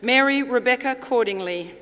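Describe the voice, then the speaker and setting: High-voiced woman announcing in a reverberant hall. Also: highs cut off. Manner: measured, formal announcing with pauses between names. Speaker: graduation name announcer. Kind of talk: formal ceremonial reading of graduates' names. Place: large indoor ceremony hall. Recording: reverberant hall, audience noise, clear background noise. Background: audience crowd noise.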